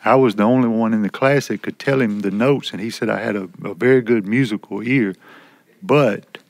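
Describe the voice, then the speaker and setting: Low, creaky-voiced man. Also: no echo. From Alabama, United States. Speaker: prison poetry student. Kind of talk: personal storytelling in group discussion.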